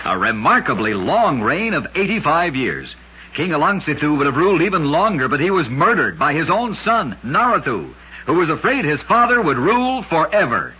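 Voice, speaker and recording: Man, old-time radio narrator, lively intonation, dramatic and emphatic, slightly high voice, noisy dull recording. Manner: dramatic, emphatic storytelling delivery. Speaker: radio storyteller and host. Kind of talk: scripted narration of short oddity stories, vintage radio. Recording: noisy, band-limited vintage radio recording.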